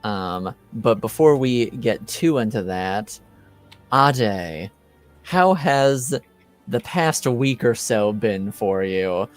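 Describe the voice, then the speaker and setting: Slow, halting man with a clear voice. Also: on the low side, animated, faint music behind. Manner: halting, searching for words. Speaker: game master. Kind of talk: casual recap narration of a tabletop game.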